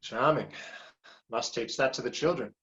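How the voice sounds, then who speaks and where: An actor playing a patient, dry-witted police officer, measured in tone. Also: male voice, mid-range voice, fairly bright tone, close to an everyday voice. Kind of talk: broadcast drama acting. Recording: dull lo-fi recording.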